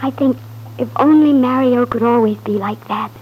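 An actress playing a devoted, anxious young wife in an old-time radio drama, pleading earnestly. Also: female voice, a little high, normal speed, phone-quality sound. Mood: tender, troubled. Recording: husky-sounding vintage radio recording.

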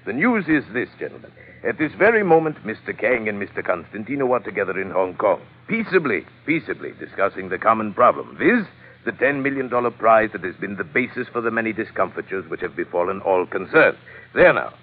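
An oily, pompous colonel in an old radio adventure. His low voice swoops grandly through elaborately courteous phrases, slightly husky, over a tinny broadcast sound. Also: big pitch swings, a little fast. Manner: florid, elaborately polite speech. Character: pompous, unctuous, smooth-talking villainous colonel. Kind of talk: old-time radio drama acting.

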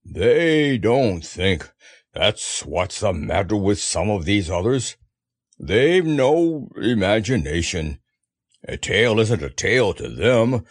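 Storybook donkey, male reader acting: gloomy, self-pitying, very slow, close dry sound. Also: fairly low pitch, sing-song, no echo, voice pushed down, full character voice. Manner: slow, mournful, drawn-out delivery.

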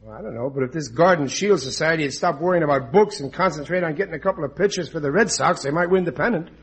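An actor playing a blustering, stubborn, dim working-class husband of about forty in a 1940s radio comedy, often hesitant and ungrammatical. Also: male voice, on the high side, fast, a little hiss or hum, close to an everyday voice. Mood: indignant. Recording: old radio broadcast recording.

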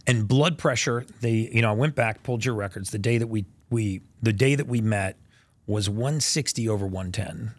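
A man hosting a podcast interview, casual and energetic, pressing home facts with emphasis. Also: fairly low pitch, normal speed, dry recording. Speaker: podcast host. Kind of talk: conversational interview.

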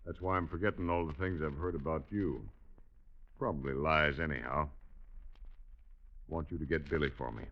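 An actor playing a sly, persuasive Old West authority figure in a radio western, coaxing with deliberate leading questions. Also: male voice, very deep voice. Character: persuasive, sly male authority recruiting a sheriff. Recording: muffled old radio recording.

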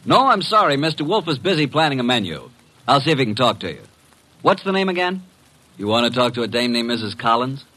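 An actor playing a breezy male detective's assistant in an old radio drama, with a wry, smooth-talking manner. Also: medium pitch, sing-song, fast talker, bright, forceful tone, poor audio. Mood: breezy, polite. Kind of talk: 1940s-50s radio drama acting and narration. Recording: vintage radio drama recording.

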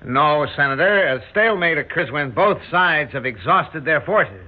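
An actor playing a grave, deliberate military general in a mid-century radio drama, speaking formally in measured, halting phrases. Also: male voice, fairly high pitch, lively intonation, phone-quality sound, lightly acted. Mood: grave, firm. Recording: thin band-limited old radio recording.

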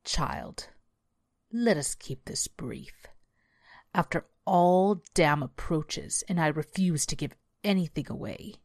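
Woman as a demonic giant hound, slow, guttural, low, menacing but loyal, horror storybook, very dry recording. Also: a little mellow, dry recording. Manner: slow, deliberate menacing delivery. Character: massive demonic male devil dog, sneering, menacing yet loyal.

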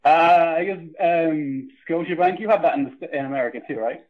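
A man's voice: a little high, brisk. A man from Ireland with a Dublin accent, calling in to a podcast with brief, dry answers. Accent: Irish, Dublin. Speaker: caller, podcast contestant. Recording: tinny phone line.

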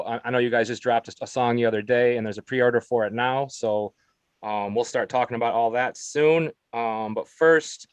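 A male interviewer asks loose, meandering questions, leaning on fillers as he gathers his thoughts. His voice sits at an ordinary middle pitch. The recording is dry and close. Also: dry recording. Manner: hesitant, filler-heavy with many "um" and "like". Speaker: podcast interviewer. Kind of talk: casual interview chat.

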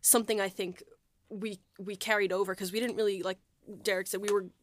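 A woman talking casually as a podcast host and writer, in a halting, conversational way that often restarts thoughts. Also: mid-range voice, level tone, slight background noise. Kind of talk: casual panel chat.

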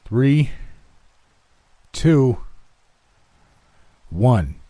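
A man voicing a menacing, drunken father who shouts commands at his son in a horror story. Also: on the low side, big pitch swings, normal speed, no room sound. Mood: threatening.

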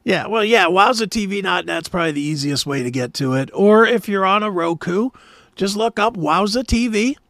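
A man's voice: high-pitched, normal speed, a bit bright, dry recording, studio quality. A man hosting a talk show in casual chat, with an informal, enthusiastic manner.

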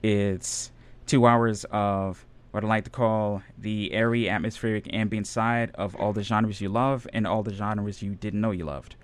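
A man who is a radio DJ and audio editor, chatting casually in a radio interview, relaxed and rambling with many fillers. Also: fairly low pitch, a little slow, smooth.

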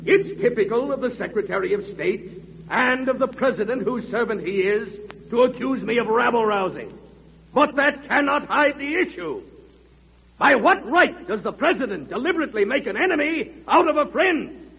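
An indignant orator senator from a radio play. His voice is very high and swoops widely as he declaims. It rings through a hall on a dull, noisy vintage recording. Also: animated, normal speed, creaky, full character voice. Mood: indignant, defiant. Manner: bombastic, declamatory. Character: fiery indignant male senator orating to the public. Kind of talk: old-time radio drama oratory.

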